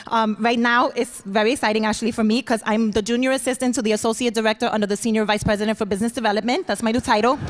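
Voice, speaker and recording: A woman voicing a nervous young Dominican American college student from New York, rushing and filling every pause with "like" and "um". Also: on the high side, steady tone, close to an everyday voice. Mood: nervous, eager. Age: young adult. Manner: nervous, rushed, peppered with "like" and "um". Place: large auditorium stage.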